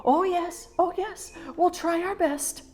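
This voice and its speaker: A woman's voice: very high voice, steady tone, slow, darkish tone, soft background music, voice pushed up. A woman voicing clever little rabbits in a children's storybook, innocently helpful and sweetly agreeable. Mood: eagerly agreeable.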